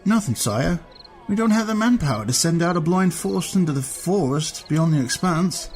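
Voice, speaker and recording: A man's voice: on the high side, animated, normal speed, darkish tone, faint music behind. A man playing a dutiful, weary fort guard who reports respectfully and matter-of-factly to his lord. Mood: grim.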